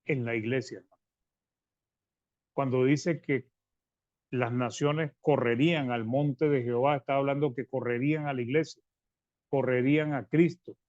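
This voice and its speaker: Soft-trailing preacher. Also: male voice, medium pitch, steady tone, dry recording. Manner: addresses listeners as brothers, reads verses then explains; halting restarts, drops softly at phrase ends. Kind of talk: Bible-study sermon. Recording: dry, slightly dull sound.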